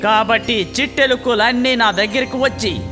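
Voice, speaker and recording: A man's voice: very high-pitched, moderate pace. A man voicing a sly cartoon cat who poses as a holy sage, grandly reassuring and falsely saintly. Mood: pious, solemn. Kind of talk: children's cartoon dialogue. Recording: long reverb, clear music behind.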